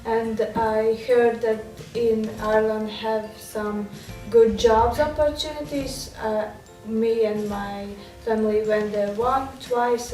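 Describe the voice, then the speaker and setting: A woman's voice: medium pitch, warm tone. A woman from Latvia, answering hesitantly in English. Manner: hesitant, non-native phrasing. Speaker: interviewee. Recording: echoey room, distant mic, faint music.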